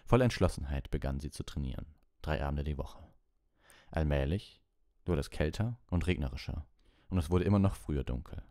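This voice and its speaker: A man's voice: rumbling low, expressive, brisk, warm tone, no echo, clean studio sound. A man reading a bedtime audiobook and hosting it as a livestream. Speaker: audiobook reader and livestream host. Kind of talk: bedtime audiobook narration and soft sign-off.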